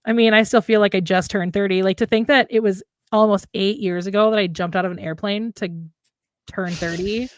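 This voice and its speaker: Female, late 30s, mid-pitched. Reflective, meandering casual talker who circles back over phrases. Dry sound. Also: steady tone, a little fast, dry recording. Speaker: writer co-hosting a live stream. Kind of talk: casual chat.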